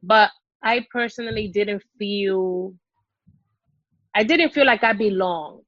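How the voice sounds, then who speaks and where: A woman's voice: mid-range voice, slow pace, no echo, dull audio. A woman from the Dominican Republic talking in a hesitant, searching way, full of fillers and restarts, as a guest in a conversation. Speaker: guest exploring Islam.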